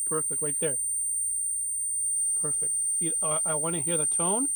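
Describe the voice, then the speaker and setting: A man's voice: on the high side, measured pace. A man giving an informal hands-on tutorial as a hobbyist, often repeating phrases as he explains. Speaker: radio repair hobbyist demonstrating.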